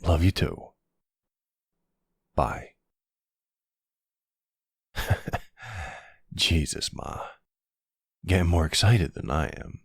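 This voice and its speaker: A man playing a charming middle-aged mafia boss, suave and flirtatious, with a gravelly, husky voice, in an intimate ASMR roleplay. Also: low-pitched, drawn-out words, pauses often, no echo, clean studio sound, exaggerated voice. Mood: fond, exasperated. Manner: suave, courteous, teasing, uses pet names.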